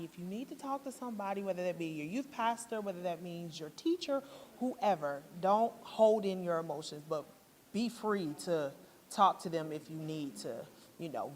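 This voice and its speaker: A woman's voice: on the low side, darkish tone. An American woman, a stage actor, giving casual interview answers in an echoey, noisy hall. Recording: echoey hall with background noise.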